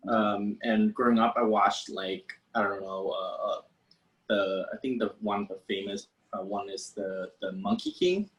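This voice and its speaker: A man's voice: on the low side, unhurried, fairly bright tone, lo-fi sound. A man from Vietnam, a special effects artist hesitantly answering interview questions and searching for words.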